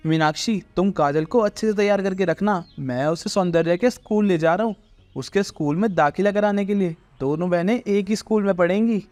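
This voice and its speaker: A man's voice: sits high, fairly quick, darkish tone, dry recording, faint music behind, close to an everyday voice. A man playing a protective, fair-minded father who speaks earnestly and firmly in a moral story. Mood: calm. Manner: earnest, firm.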